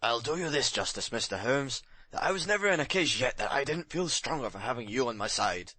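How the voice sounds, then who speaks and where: A man's voice: mid-range voice, lively intonation, fairly quick, bright, forceful tone, no room sound. A man playing an eager, proud police detective, blunt and self-satisfied, in an audiobook story.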